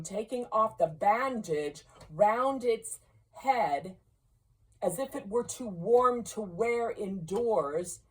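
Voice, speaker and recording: A woman reading a classic story aloud in an expressive literary narration style. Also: mid-range voice, husky, distant mic, rough recording. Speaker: story reader.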